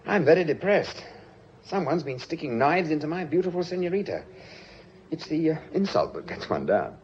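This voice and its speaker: A British actor playing a breezy, teasing society portrait painter in a radio drama, with a jaunty, theatrical way of bantering. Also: male voice, fairly high pitch, big pitch swings, normal speed, darkish tone. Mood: indignant. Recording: muffled radio drama with background noise.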